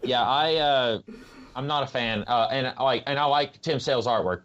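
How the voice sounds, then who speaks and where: A man giving his opinions in a casual, informal way as a podcast co-host. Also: medium pitch. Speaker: podcast co-host reviewing comics. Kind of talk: casual discussion. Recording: muffled lo-fi mic with background noise.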